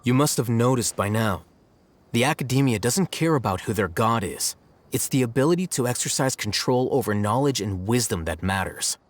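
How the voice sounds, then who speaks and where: A man playing a cool, cerebral young scribe in a video game, speaking in a measured, detached, academic way. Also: medium pitch, expressive, natural voice. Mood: detached, analytical. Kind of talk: scripted game dialogue.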